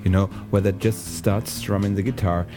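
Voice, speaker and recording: A man's voice: on the low side, steady tone, moderate pace. A man from Germany introducing a music quiz for the hosts in a friendly, playful way. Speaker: listener sending a music quiz. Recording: guitar music playing behind.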